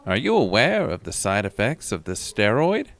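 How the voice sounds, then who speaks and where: A man's voice: on the low side, sing-song, normal speed. A man voicing a concerned pharmacist who gives emphatic, cautioning instructions in an audio horror drama. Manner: alarmed, louder, emphatic warnings. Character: concerned, cautioning male pharmacist behind a counter.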